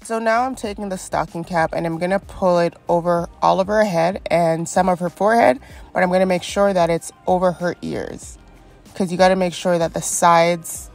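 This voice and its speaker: Young female beauty vlogger, congested and fry-heavy, friendly how-to voice-over with soft music under it. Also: on the low side. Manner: chatty and encouraging, frequent ums. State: congested from a cold. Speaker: wig stylist and beauty vlogger. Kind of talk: step-by-step tutorial voice-over.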